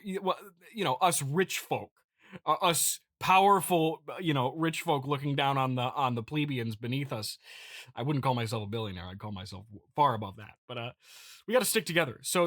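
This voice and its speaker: Bright-voiced, stammering man hosting a comedy podcast. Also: on the high side, dry recording, clean studio sound. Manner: halting, stammering, repeats words. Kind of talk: improvised comedic monologue reading listener feedback.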